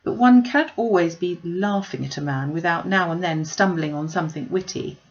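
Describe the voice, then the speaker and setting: A woman's voice: on the low side, brisk, muffled recording, natural voice. A woman voicing a witty, self-possessed young lady in a classic novel reading, wry, articulate and emphatic. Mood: self-mocking.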